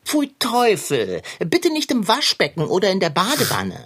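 A woman's voice: mid-range voice, wildly swooping pitch, moderate pace, husky. A woman voicing a prim, haughty tomcat. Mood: disgusted, prim. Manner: prim, pompous, elaborate wording. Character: fussy, haughty male tomcat. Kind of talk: children's radio play acting.

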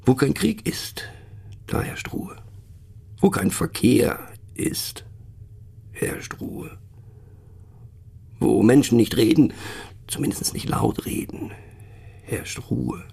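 An eccentric, mocking male narrator of a radio monologue, talking confidingly to the listener. The husky voice moves slowly and haltingly, swinging widely in pitch and often sinking toward a whisper as he muses and doubts himself. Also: a little mellow, exaggerated voice. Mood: musing, hushed. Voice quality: gravelly, husky. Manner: conspiratorial, halting, often dropping to a near whisper.

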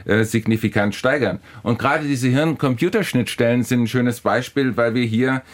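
A man's voice: mid-range voice, normal speed. A man speaking as an academic guest in a discussion, explaining and arguing emphatically, often restarting and repeating phrases. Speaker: philosophy professor, guest.

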